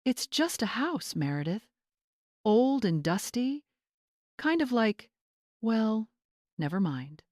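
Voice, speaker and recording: A woman's voice: fairly high pitch, very animated, takes it slowly, long pauses, darkish tone, dry recording, pitched above the performer's own voice. Woman narrator as spoiled young bride for a storybook drama: syrupy, smug, cutting, very dry close sound. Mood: cold, cutting. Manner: syrupy mockery turning to cold commands. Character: catty young bride, fake-sweet, sharp and condescending.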